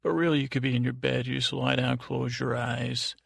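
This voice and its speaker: A man hosting a bedtime podcast in a meandering, halting monologue, repeating words and drifting off on tangents. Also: mid-range voice, steady tone, vocal fry, fairly bright tone, no echo, studio quality. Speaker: podcast host. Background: music.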